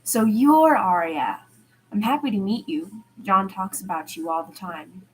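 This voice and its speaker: Woman reader as gentle young male academic, friendly, polite, hesitant, storybook dialogue, faint noise behind. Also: mid-range voice, expressive, unhurried, close to an everyday voice, low-quality recording. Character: soft-spoken, friendly, bashful young male student. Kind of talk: amateur fiction character dialogue.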